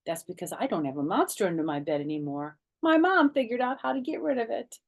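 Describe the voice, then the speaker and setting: A woman's voice: medium pitch, big pitch swings, fast talker, pitched above the performer's own voice. Woman playing little schoolgirl, storybook friend, confident, matter-of-fact, breezy, emphatic. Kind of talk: children's storybook character reading.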